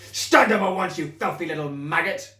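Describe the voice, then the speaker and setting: A man voicing a huge, bullying female headmistress who rants in booming, scornful tirades. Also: expressive, normal speed, fairly bright tone, lightly acted. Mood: barking. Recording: roomy echo.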